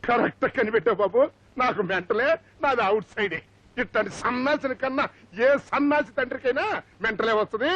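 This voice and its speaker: A man playing an agitated, indignant middle-aged uncle in a film comedy, loud and exclamatory. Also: extremely high pitch, a little fast, dry recording. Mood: indignant, threatening. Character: agitated, blustering comic uncle and aggrieved father. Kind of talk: Telugu film comedy acting. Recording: dull lo-fi film soundtrack, faint music.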